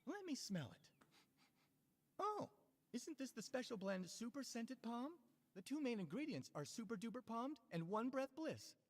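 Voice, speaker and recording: A woman's voice: on the high side, big pitch swings, moderate pace. A woman playing a proud, earnest, easily indignant character in a video game, explaining things emphatically.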